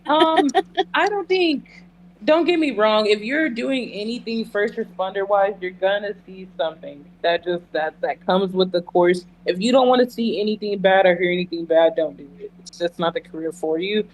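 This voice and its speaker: Male interview guest, high-pitched, candid and emphatic, conversational delivery over audible background hum. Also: moderate pace, rough recording. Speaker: interview guest, emergency dispatcher. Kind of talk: earnest conversational interview answers.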